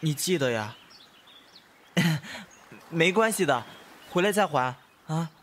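A male actor playing a feisty, touchy but soft-hearted young man in a Chinese costume audio drama. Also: fairly high pitch, expressive, takes it slowly, slight background noise. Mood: anxious.

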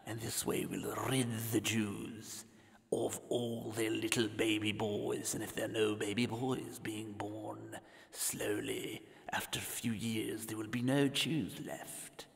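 A man voicing a cruel, scheming Egyptian king who talks low, hushed and calculating. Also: unhurried, gravelly, big-room sound. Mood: scheming whisper. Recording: music playing behind.

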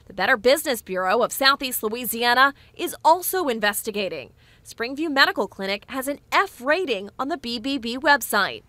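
A woman in her thirties narrating an investigative local news report in a measured, clear delivery. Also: on the high side, animated, sharp tone, no echo.

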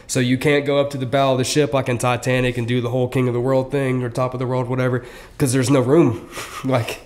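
A man's voice: medium pitch, flat delivery, darkish tone. Easygoing young man explaining casually. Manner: conversational, many fillers, quick asides. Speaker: podcast co-host.

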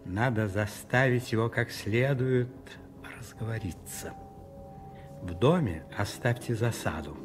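Man acting scheming cardinal, radio drama, commanding, unhurried, music underneath. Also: fairly low pitch, natural voice. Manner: commanding, deliberate, irritable. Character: imperious, scheming French cardinal, coldly commanding. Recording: music behind the voice.

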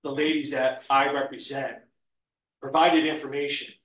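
A man, a union representative, hoarse from a cold, giving a formal explanation at a school board meeting from a podium. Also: mid-range voice, flat delivery, normal speed, a bit bright, distant mic. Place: meeting room, standing at a wooden podium. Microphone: podium mic, heard from a far camera. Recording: echoey room, voice heard from afar.

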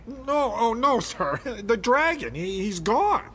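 An actor voicing a timid, stammering, deferential police underling in a video game. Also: male voice, very high-pitched, sing-song, slow, a bit bright, slight background noise, full character voice. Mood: nervous, eager. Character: nervous, obedient male police lieutenant underling. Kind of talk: cinematic video game acting.

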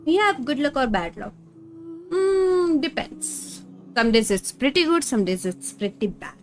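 A woman's voice: high voice, sing-song, a little slow. An Indian woman chatting casually on a gaming livestream, switching between Hindi and English. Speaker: game streamer. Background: game music.

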